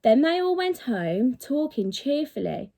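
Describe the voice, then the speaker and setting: A woman with a fairly high-pitched voice reads a children's storybook. Her delivery is expressive and emphatic, with the dramatic shaping of a teacher telling a story to young pupils. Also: measured pace.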